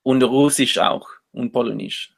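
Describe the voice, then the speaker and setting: A man's voice: mid-range voice, steady tone, takes it slowly, vocal fry, slightly rough. A man hosting a casual interview, asking simple questions and repeating answers back. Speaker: interviewer, host.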